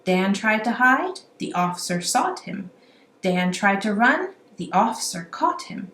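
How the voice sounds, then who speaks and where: In a roomy, echoing space with faint noise, a woman reads a children's rhyme aloud. She is an amateur storyteller with an animated delivery and a middling pitch. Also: measured pace. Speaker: amateur children's book reader.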